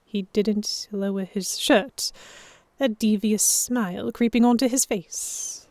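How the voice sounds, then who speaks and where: A woman playing a proud, sharp-tongued historical heroine who narrates a pirate romance in the first person, wry and expressive. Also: medium pitch, takes it slowly, dry recording. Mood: reflective narration. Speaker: narrator and lead voice actor.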